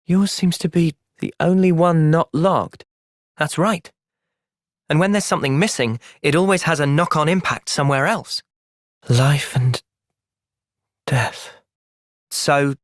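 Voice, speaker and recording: Earnest young English game hero, dry studio sound. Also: male voice, on the high side, fairly bright tone, no echo. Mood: quiet, somber. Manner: earnest, measured, reflective. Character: earnest, thoughtful young male soldier hero of a fantasy game. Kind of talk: video game cutscene acting.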